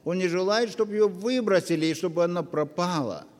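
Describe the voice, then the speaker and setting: A man preaching a sermon to his congregation, earnest and full of pauses. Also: high voice. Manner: slow, earnest, with long pauses. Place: church hall. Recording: long reverb of a church hall.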